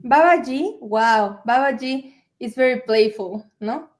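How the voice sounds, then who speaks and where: A woman who joins a live talk as a guest, chatting in a quick, gushing, keen way over a video call. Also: on the high side. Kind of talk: casual chat.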